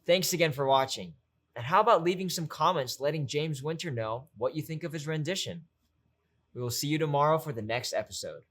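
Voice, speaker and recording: A man's voice: a little high, lively intonation, a little fast, fairly bright tone. A man speaking as host, delivering a scripted announcement to close a programme.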